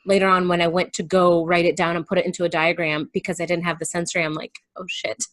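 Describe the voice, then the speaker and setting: A woman's voice: fairly low pitch, flat delivery, fast, fairly bright tone, no room sound. A woman guest in a casual personal chat, speaking candidly and informally with emphatic turns of phrase.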